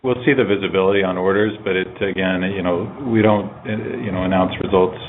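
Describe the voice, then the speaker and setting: Deep-voiced man on muffled, noisy line. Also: steady tone, fast talker. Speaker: company executive answering analysts. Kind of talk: informal answer on an earnings call. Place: earnings conference call. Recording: muffled phone-like line with faint noise.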